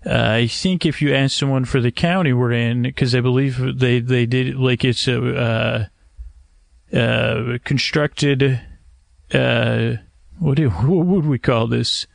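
A man hosting a bedtime podcast, rambling in a halting, meandering way, often interrupting himself and getting mixed up, with a creaky, gravelly voice. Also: mid-range voice, steady tone, no room sound, studio quality. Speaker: podcast host. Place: homemade screened outdoor porch at night.

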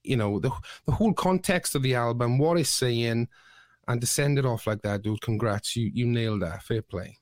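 A man at a medium pitch, hosting a relaxed podcast and heaping enthusiastic praise on his guest. His voice is recorded close and dry. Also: normal speed, no room sound. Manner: enthusiastic and complimentary, says "dude" and "mate". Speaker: podcast host. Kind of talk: casual podcast interview.

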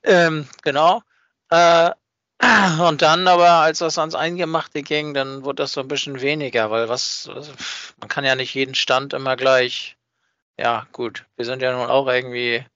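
A man's voice: mid-range voice, level tone, moderate pace, a bit bright, clear, resonant tone, no room sound, highs cut off. A man co-hosting a podcast in casual chat, speaking informally and colloquially and often repeating himself. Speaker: podcast co-host. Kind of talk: casual podcast chat about a hobby project.

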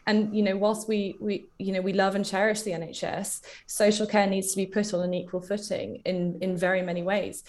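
A woman speaking as a charity spokeswoman in a radio interview, measured, diplomatic and careful in how she argues her case. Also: medium pitch, flat delivery, a little mellow.

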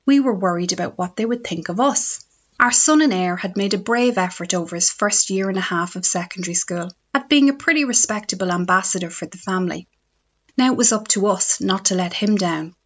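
A woman's voice: mid-range voice, fairly bright tone. Irish woman, scripted comic column, dry self-mockery, muffled lo-fi recording. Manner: wry, self-mocking storytelling. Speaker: radio essayist. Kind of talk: scripted humorous column read on radio.

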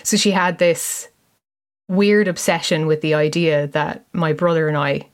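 An Irish woman with a softened, neutral accent speaks reflectively, thinking aloud. Her voice is quite low for a woman. She sounds thoughtful and measured. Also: steady tone, clear, resonant tone. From Limerick, Ireland. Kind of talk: casual chat.

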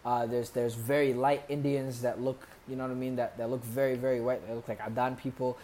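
A young American man, a podcast guest, casually telling animated stories with jokes and laughs. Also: mid-range voice, a little fast, a little hiss or hum. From United States. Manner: animated, joking, laughs through his stories. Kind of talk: casual conversational storytelling.

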